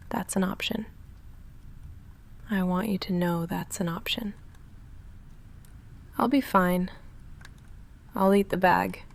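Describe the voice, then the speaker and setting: A woman playing a shy, lovestruck vampire in an intimate ASMR roleplay, speaking softly and breathily with halting, confessional hesitation, often in a whisper. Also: medium pitch, gravelly. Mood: resigned, vulnerable. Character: shy lovestruck female vampire roommate, confessing and self-restrained.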